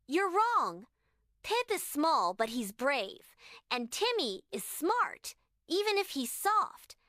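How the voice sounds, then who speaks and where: A woman's voice: high voice, big pitch swings, takes it slowly, pushed and bright, no room sound, studio quality, heavily acted. A woman voicing a small, earnest preschool girl who is loyal and brave, in a children's bedtime story.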